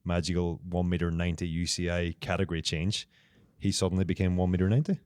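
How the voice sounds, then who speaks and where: A man's voice: sits low, level tone, fast talker, a little mellow, clear, resonant tone, dry recording, clean studio sound. A man co-hosting a casual podcast chat, analytical, working through long explanations with restarts. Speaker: podcast co-host.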